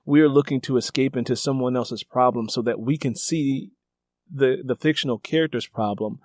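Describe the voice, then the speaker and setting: A man's voice: medium pitch, normal speed, darkish tone, no echo. Man hosting a conversational podcast, dry close sound. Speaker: podcast host and interviewer. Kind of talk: conversational book interview.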